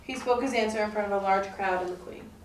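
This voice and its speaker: A woman's voice: mid-range voice, fast, distant mic. A young woman narrates a scripted tale in a mid-range voice. She is recorded in an echoey room, a little away from the microphone, with faint noise around her. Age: young adult. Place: indoor room, puppet show recording.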